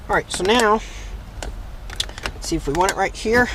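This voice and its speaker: Higher-voiced man in a noisy vehicle, explaining. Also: expressive, normal speed. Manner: step-by-step, thinking aloud while working. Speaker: host of a how-to video channel. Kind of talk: casual hands-on tutorial narration. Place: inside or beside a parked vehicle.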